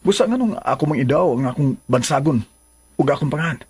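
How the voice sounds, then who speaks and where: A man's voice: a little high, lively intonation, quick pace, darkish tone, breathy edge, no echo, heavily acted. A man playing a troubled, brooding lover in a radio drama. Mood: brooding, resentful. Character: troubled man torn between bride and former lover.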